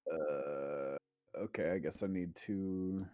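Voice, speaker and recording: A man's voice: sits low, slow pace, mellow, no echo. A man hosting a gaming stream, chatting casually in a laid-back, matter-of-fact way. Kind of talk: casual gamer chat. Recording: dull lo-fi voice with faint music.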